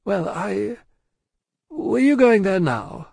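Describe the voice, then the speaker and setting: A man voicing a discreet, anxious elderly bank man from a classic novel, polite, hesitant and measured. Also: high voice, big pitch swings, creaky, darkish tone, slightly rough, dry recording, clean studio sound, voice pushed up, full character voice. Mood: hesitant, cautious. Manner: hesitant, courteous, measured, fussy. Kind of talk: acted audiobook dialogue from a classic novel.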